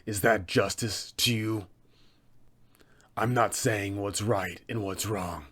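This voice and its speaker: A man voicing a cold, calm, menacing undead killer who poses as a trader, in a low, breathy voice with measured delivery, for a tabletop roleplaying villain. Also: heavily acted. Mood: evasive, calm. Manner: slow, hushed, measured threats.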